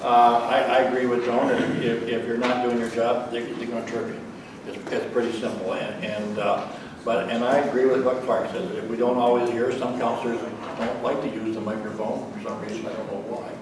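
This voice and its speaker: A man running for council, answering a debate question in a halting way, often repeating his first words. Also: medium pitch, flat delivery. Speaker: council candidate. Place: public meeting hall. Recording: echoey hall, distant mic, noise.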